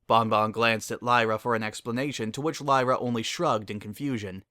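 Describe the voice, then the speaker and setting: A man's voice: medium pitch, steady tone, a little fast, sharp tone, no room sound, clean studio sound. A man narrating a scripted dramatic reading of a story.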